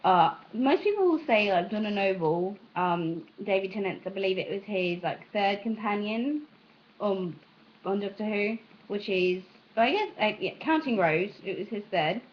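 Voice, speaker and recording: A young woman from the American South chats in a self-taught British accent, her voice at an ordinary pitch. She rambles hesitantly, often breaking off and restarting her thoughts. The recording is dull and lo-fi, like a homemade vlog. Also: lively intonation, moderate pace. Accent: British, put on by an American. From Southern United States. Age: young adult. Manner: hesitant, self-interrupting, meandering. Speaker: amateur vlogger. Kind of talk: casual rambling chat to camera. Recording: dull, lo-fi sound.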